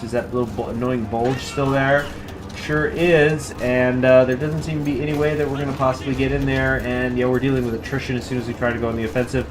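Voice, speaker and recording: A man at a middle pitch chats his way through a strategy game, thinking aloud and reading the on-screen text aloud. He sounds relaxed and unscripted. Quiet game music plays faintly underneath. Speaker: gameplay commentator. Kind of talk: casual let's-play commentary.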